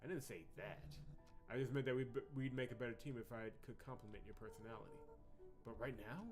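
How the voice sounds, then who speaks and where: A man's voice: medium pitch, fast talker, over music, lightly acted. Man reading as timid, subdued game girl. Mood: hesitant, discouraged. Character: timid, apologetic girl unsure of her courage. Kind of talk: reading visual novel dialogue.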